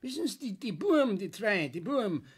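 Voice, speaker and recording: An actor playing a fussy, nosy but helpful caretaker with a Bavarian accent in a children's audio play. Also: male voice, high voice, sing-song, moderate pace, husky, full character voice. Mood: concerned, curious.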